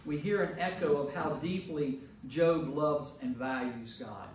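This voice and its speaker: A man's voice: fairly high pitch, measured pace. American male priest for informal Bible study, conversational and questioning, distant and very reverberant sound. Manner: conversational, puts questions to listeners, checking in with "right?". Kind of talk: informal Bible lesson to a group. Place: indoor church meeting room with a group. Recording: echoey room from far off, noisy.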